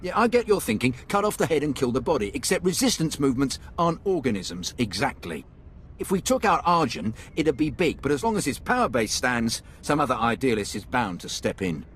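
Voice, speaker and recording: A man playing a confident, smooth-talking Imperial officer in a video game cutscene, briefing persuasively. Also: mid-range voice, big pitch swings, fairly quick, fairly bright tone, slight background noise. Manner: persuasive, military briefing delivery. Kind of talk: scripted game cutscene dialogue.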